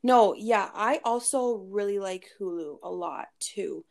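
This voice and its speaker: A woman's voice: mid-range voice, unhurried, vocal fry. A woman co-hosting a podcast in bubbly, gushing casual chat, sometimes groping for words. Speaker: podcast co-host.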